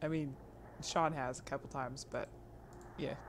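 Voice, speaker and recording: Woman, husky voice, casual live-stream chat, rambling and self-interrupting, laughs often, noisy home mic. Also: extremely low pitch, steady tone, a little slow. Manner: chats to an online audience.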